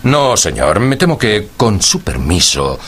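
A man playing a genial, impoverished older gentleman in a radio drama, stout and courteous, with formal, wordy speech and a gravelly, husky voice. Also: fairly low pitch, sing-song, unhurried, full character voice. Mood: polite, rueful.

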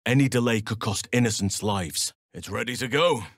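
A man voicing a stoic, earnest druid devoted to nature in a video game, speaking in measured, formal fantasy phrasing. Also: medium pitch, no room sound, studio quality, natural voice. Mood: calm, grave. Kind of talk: video game character voice lines.

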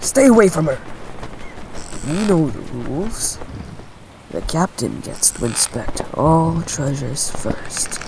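A man's voice: on the high side, sing-song, takes it slowly, heavily acted. A man playing a commanding, roguish yet protective pirate captain with nautical slang in an audio roleplay. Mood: stern, commanding. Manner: authoritative, uses nautical slang. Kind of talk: dramatic audio roleplay acting. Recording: clear background ambience noise.

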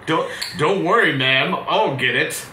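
A man hosting a casual let's play, joking in a crude, sarcastic, animated way as he plays. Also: a little high, a little slow, far from the mic, slight background noise. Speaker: host playing a video game. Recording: roomy echo, game music behind. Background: video game music.

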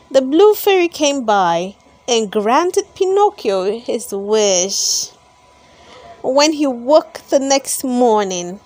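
Female storybook narrator, high voice, unhurried and expressive, reading aloud for children. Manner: expressive, dramatic delivery with emphasized words.

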